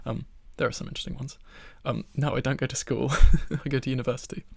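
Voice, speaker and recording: A man of twenty-one chatting in a soft-spoken ASMR style in his bedroom, rambling and self-deprecating with soft laughs, close to the microphone. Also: mid-range voice, steady tone, quick pace, vocal fry, no echo. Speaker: ASMR creator.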